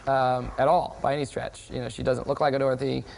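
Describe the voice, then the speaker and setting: A woman's voice: very deep voice, flat delivery, fast talker, gravelly, darkish tone, slight background noise, rough recording. A woman directing auditions, interviewing students bluntly about the roles.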